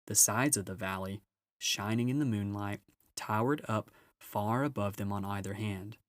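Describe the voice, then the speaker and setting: A man's voice: lowish voice, normal speed, dry recording, clean studio sound. A man reading a children's storybook as scripted narration. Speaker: storybook reader.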